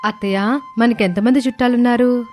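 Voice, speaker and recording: Casting: woman as scheming, stingy young daughter-in-law for a moral tale; high, pert, emphatic, over background music. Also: steady tone, fairly quick, a little mellow, close to an everyday voice. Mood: eager, scheming. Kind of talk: animated moral story dialogue.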